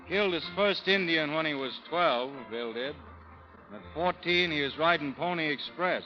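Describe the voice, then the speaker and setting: A man's voice: fairly high pitch, lightly acted. An actor playing a laconic frontier gunman with a dry, folksy drawl in a vintage radio drama. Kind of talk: 1930s radio acting. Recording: muffled vintage radio recording, music behind.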